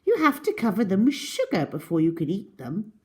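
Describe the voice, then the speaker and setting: Woman putting on a high, scornful smaller crocodile. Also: huge pitch swings, a little fast. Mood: indignant disgust. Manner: indignant, scornful, emphatic. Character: smaller, disapproving, blunt crocodile who mocks. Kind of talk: storybook character reading.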